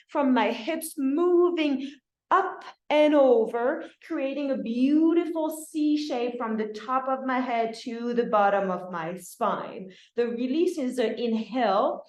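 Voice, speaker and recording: A woman's voice: high-pitched, a little mellow. A woman from France with a French accent, instructing a dance class in an encouraging way and often checking in with her students.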